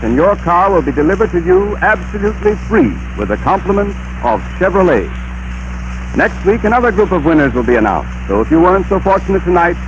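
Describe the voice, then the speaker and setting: Crisp formal man announcing on old radio. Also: sits high. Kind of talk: 1930s sponsor announcement. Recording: muffled 1930s radio broadcast with hiss.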